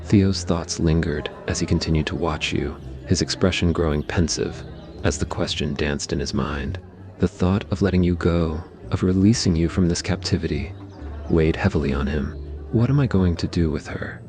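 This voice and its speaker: A man's voice: rumbling low, fairly even intonation, normal speed, darkish tone. A man narrating a scripted romantic ASMR story.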